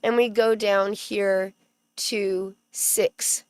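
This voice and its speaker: A woman's voice: medium pitch, fairly even intonation, very slow, darkish tone, clear, resonant tone, no echo. A woman hosting a casual commentary stream, chatty and sarcastic, who stops to read legal text aloud. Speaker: commentary channel host.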